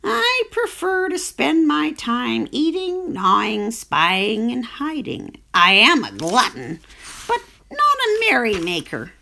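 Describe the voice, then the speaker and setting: A woman's voice: high voice, creaky, bright, forceful tone, pitched above the performer's own voice, exaggerated voice. A woman voicing a crafty greedy male rat who talks sourly and sneeringly, in a storybook reading. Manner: sour and sneering.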